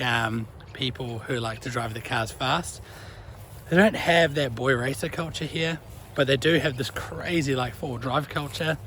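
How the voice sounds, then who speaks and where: Young kiwi guy vlogging outside on a walk, laid back chatty, bit of background noise. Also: medium pitch. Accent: New Zealand. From New Zealand. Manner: chatty, relaxed, uses Kiwi slang. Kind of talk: casual walk-and-talk vlog. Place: outdoors by a creek, walking a dog.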